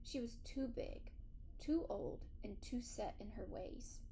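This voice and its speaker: Mellow, high-voiced woman reading a children's story. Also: slow pace, a little hiss or hum. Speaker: audiobook reader. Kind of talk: expressive read-aloud of a children's story, narrated in first person as a dog.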